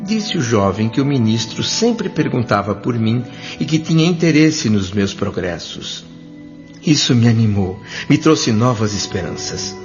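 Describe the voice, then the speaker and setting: A man's voice: mid-range voice, sing-song. An actor with a Brazilian accent playing an earnest, humble male doctor in an audio drama, speaking formally and politely. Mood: reflective, earnest. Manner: formal, polite, measured diction. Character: André, earnest humble male doctor spirit, newly arrived. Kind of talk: audio drama narration and dialogue. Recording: dull lo-fi audio with music bed.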